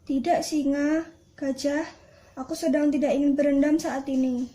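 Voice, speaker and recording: High, downcast duck played by a woman. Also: fairly even intonation, moderate pace, mellow, natural voice. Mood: glum. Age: young adult. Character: downcast, apologetic duck, a loyal friend. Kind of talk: puppet-show fable dialogue.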